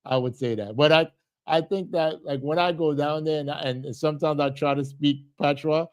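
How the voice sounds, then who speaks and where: A man's voice: fairly high pitch, fairly even intonation, moderate pace, a little mellow, clean tone, no room sound. A middle-aged man hosting a casual livestream, talking earnestly to his viewers with lots of restarts and repeated thank-yous. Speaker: livestream host.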